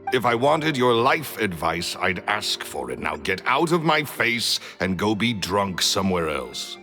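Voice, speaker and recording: A curt, threatening hard-nose. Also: male voice, fairly low pitch, big pitch swings, a little slow, bright, forceful tone, long reverb tail, faint music behind, exaggerated voice. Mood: contemptuous. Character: hard-nosed, foul-mouthed man pushing forty. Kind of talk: game voice acting.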